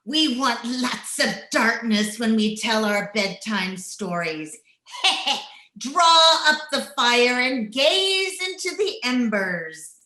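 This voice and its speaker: A woman's voice: a little high, animated, slow pace, pushed and bright, full character voice. A woman playing a cackling witch well over a hundred years old, telling eerie tales in archaic folksy speech in an old-time radio horror style. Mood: eerie, relishing. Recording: roomy, echoing home recording over video call.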